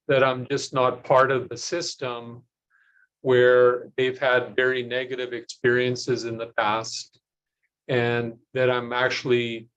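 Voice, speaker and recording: A man, a physician, speaking reflectively in a measured, hesitant way with many pauses on a video call. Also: mid-range voice, fairly bright tone, rough recording. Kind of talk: reflective remarks in online panel.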